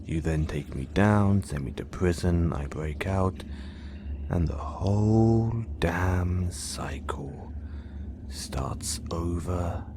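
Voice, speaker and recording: A man voicing an obsessive, menacing male supervillain in an audio roleplay, speaking in a slow, hushed, gloating whisper. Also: low voice, noisy background. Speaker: voice actor.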